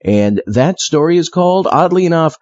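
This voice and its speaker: A man's voice: a little high, lively intonation, moderate pace, darkish tone, dull audio, slight background noise. A man hosting an audio show, playful, in the style of a comedy skit. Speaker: host. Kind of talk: playful host talk and comedy skit acting.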